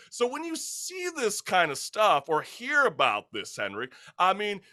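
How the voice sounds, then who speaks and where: A man's voice: high-pitched, sharp tone. A man hosting a talk show in an opinionated, conversational way, asking emphatic rhetorical questions with casual slang and mild swearing.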